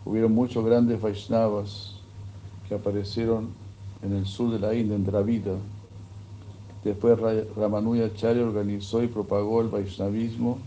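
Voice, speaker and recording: A man giving a sermon, retelling a scripture story in a slow, measured way. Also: fairly low pitch, mellow, muffled recording, slight background noise. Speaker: religious speaker.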